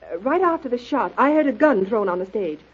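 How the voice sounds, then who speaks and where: Female detective for radio drama: high, animated, businesslike, takes charge, on a dull lo-fi recording. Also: fairly quick, breathy edge. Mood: brisk, businesslike. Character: brisk, commanding female detective questioning suspects.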